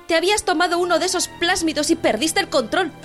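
High-pitched, sharp-tongued weary working-class wife voiced by actress. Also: female voice, fast, faint music behind. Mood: scolding, worried. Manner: reproachful, sarcastic. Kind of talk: dramatic audio drama acting in Spanish.

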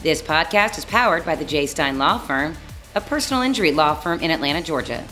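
A woman reading a polished, scripted podcast intro in a smooth announcer delivery. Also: deep voice, expressive, brisk, bright, forceful tone, low-quality recording. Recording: voice over faint intro music. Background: intro music.

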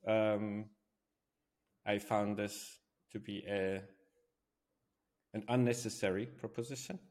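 Male interview guest from Switzerland, lower-pitched voice, conversational and thoughtful in how he explains. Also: steady tone, slow, a little mellow. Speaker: podcast guest, robotics company founder.

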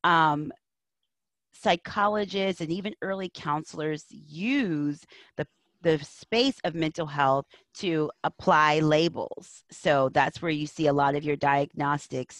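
A woman's voice: low voice, dry recording. A woman speaking as an interview guest, a counselor and educator, giving earnest, emphatic advice in reflective answers.

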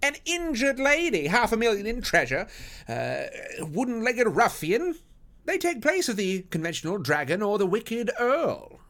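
Man as an excitable high-voiced genteel lady. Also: brisk, full character voice. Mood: excited. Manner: exclamatory, gushing.

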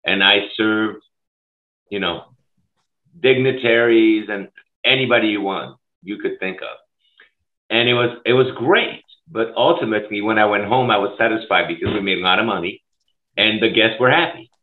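Middle-aged man from switzerland, lowish voice, emphatic, phone-like call audio. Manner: passionate, emphatic, rapid bursts. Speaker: school food services director. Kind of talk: conversational interview. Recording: tinny phone-like line.

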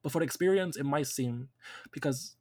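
A man's voice: medium pitch, a little fast, a little mellow, slightly rough. A young man co-hosting a podcast, rambling and joking in casual chat. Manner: joking, rambling, lots of fillers. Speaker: podcast co-host.

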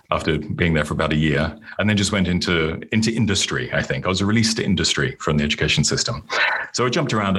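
Deep husky British man. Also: a bit bright. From United Kingdom. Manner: rambling, self-deprecating, with restarts. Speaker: podcast guest. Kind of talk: casual interview storytelling. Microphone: remote call-in.

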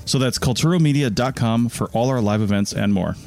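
A man's voice: medium pitch, a little fast, over music. A man who hosts podcasts, reading a scripted promotional announcement. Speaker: podcast network founder and host.

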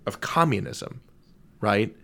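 Male interviewer, wry and conversational. Lively swooping intonation, vocal fry, emphatic drawn-out phrasing. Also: on the low side. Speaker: podcast host, interviewer. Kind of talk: casual, analytical interview chat.

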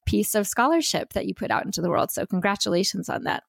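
A woman's voice: medium pitch, lively intonation, fast talker, creaky, no room sound. Eager woman podcast host, dry sound. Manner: warm, enthusiastic, reads bio aloud. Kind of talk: interview hosting.

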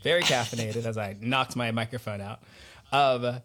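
A man hosting a casual interview podcast, playful and a little halting as he thinks aloud. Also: medium pitch, background noise. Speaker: podcast host.

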